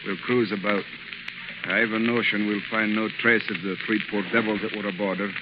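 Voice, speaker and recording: Guy doing a gruff old-time sea captain, low voice, noisy 30s radio. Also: fairly even intonation, normal speed, lightly acted. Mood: grim. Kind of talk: 1930s radio drama acting. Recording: muffled, noisy 1930s radio recording.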